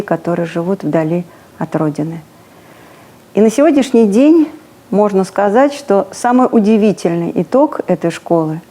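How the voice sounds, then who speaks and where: A middle-aged woman who founded a Russian school, speaking in a measured, earnest documentary interview. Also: medium pitch, warm tone.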